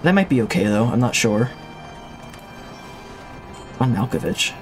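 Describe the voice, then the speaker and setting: A man chatting casually to his viewers while he plays a game, talking loosely and thinking out loud with frequent restarts. His voice is a middle pitch for a man and comes through a home streaming mic. Soft game music plays underneath. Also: lively intonation, measured pace. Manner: rambling and reflective, with many restarts. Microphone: streaming mic with a limiter.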